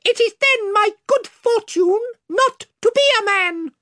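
Reepicheep, a shrill proud mouse knight. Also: male voice, a little slow, sharp tone, no room sound, studio quality, heavily acted. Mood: haughty. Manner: stiff, formal, indignant. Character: valiant proud male talking mouse knight.

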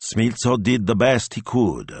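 A brisk, sharp-tongued woman speaks with a scolding edge, as a male narrator puts her on. The voice is lifted well above his deep register and stays lively. The recording is close and dry. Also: breathy edge, no echo, full character voice. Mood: encouraging.

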